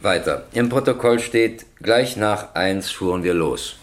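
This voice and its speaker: An actor playing a stern, impatient presiding judge in a radio crime drama, questioning formally and insistently. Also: male voice, lowish voice, unhurried, close to an everyday voice.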